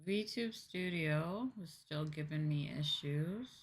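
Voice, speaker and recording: A woman's voice: low-pitched, takes it slowly. A woman livestreamer chatting casually and thinking aloud, muttering a little hesitantly to herself. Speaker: VTuber livestreamer.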